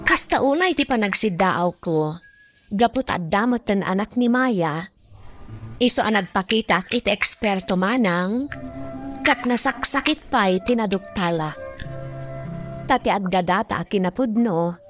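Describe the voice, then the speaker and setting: Faint music sits behind a woman with an Ilocano accent. She delivers scripted radio drama narration at an average female pitch, in the role of programme host.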